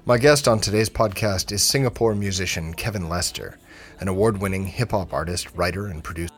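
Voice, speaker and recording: A man with a notably low voice hosts an interview podcast. He speaks in measured, articulate sentences, moving between scripted introduction and questions.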